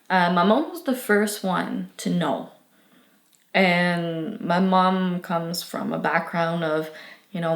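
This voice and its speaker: A young woman with a French accent gives earnest, thoughtful interview answers. Her voice sits low and has a gravelly edge. She pauses often with fillers and restarts, and the room around her echoes.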